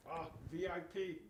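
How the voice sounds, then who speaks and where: A man voicing a cheeky, easily offended talking puppet, teasing and mock-indignant in comic banter. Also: high-pitched. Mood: pleased.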